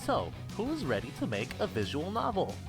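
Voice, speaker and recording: A man's voice: fairly high pitch, huge pitch swings, normal speed. A man playing a game developer who presents step by step at a convention panel in a visual novel game. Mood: upbeat, instructive. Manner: addresses a crowd in clear steps. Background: guitar game music.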